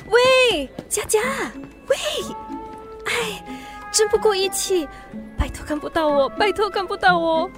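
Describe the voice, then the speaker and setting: An anxious teenage friend in a radio drama. She is hesitant and timid, with a Singaporean accent. The voice is extremely high in pitch. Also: measured pace, over music. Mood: nervous. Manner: hesitant, fretful. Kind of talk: Mandarin radio drama acting.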